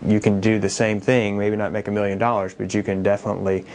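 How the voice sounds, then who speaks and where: A man giving a casual guitar lesson, explaining chord progressions and transposition in a relaxed, conversational way while he plays. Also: lowish voice, quick pace, a little hiss or hum. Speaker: guitar teacher. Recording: loud guitar under the voice. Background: guitar played by the speaker.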